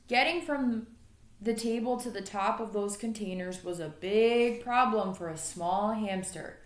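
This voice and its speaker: A woman reading a children's story aloud expressively, like an elementary school teacher. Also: on the high side. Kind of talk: storybook read-aloud narration. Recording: echoey room.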